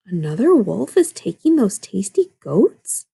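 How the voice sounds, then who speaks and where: Grumpy old male wolf, acted out by a woman, hungry, weary, creaky, growling, storybook read-aloud. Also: medium pitch, sing-song, unhurried, dark tone, full character voice. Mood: scheming. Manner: growling, grumbling.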